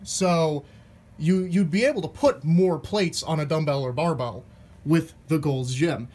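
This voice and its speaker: A man with a fairly high-pitched voice gives a casual product comparison. He speaks informally, like someone explaining gear to viewers from a room at home. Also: measured pace, a little mellow, breathy edge. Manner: informal, mildly profane. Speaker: fitness product reviewer.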